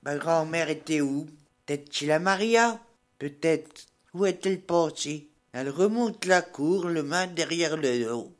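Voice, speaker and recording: An older woman from rural Burgundy, a radio columnist telling folksy village anecdotes in dialect in a halting, plain-spoken way, in a radio studio. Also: low voice. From rural Burgundy, France.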